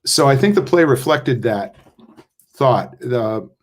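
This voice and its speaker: An older man with a mid-pitched voice talks casually over a video call. He is reflective and halting, thinking aloud as a playwright discussing his work. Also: animated. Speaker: playwright in a post-show discussion.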